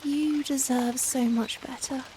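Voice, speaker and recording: A woman playing a young Victorian vampire in a softly spoken ASMR roleplay, anguished and tender, speaking formally and hesitantly with rain behind the voice. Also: high voice, unhurried, poor audio. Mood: regretful, pleading. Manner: hesitant, formal, emotional, pleading. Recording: steady rain noise behind. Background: rain falling on a surface.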